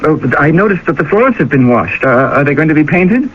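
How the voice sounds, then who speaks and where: An actor playing a tormented, exhausted young former student in a radio drama, speaking in a halting, anguished way. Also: male voice, fairly high pitch, sing-song. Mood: nervous, intense. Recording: muffled, very noisy vintage radio recording.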